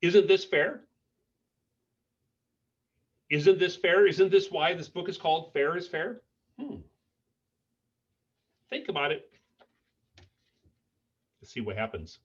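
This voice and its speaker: A man reading a picture book aloud to children in an expressive way, pausing to put questions to his listeners. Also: high voice, husky, lo-fi sound. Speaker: school principal reading to children.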